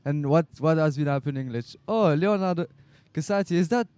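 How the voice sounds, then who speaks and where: Clear French-accented man, fairly high-pitched. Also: fairly quick, darkish tone, no echo. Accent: French, with a slight South African tinge. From France. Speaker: live stream co-commentator. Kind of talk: casual live kiteboarding commentary. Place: indoor live stream booth by a beach.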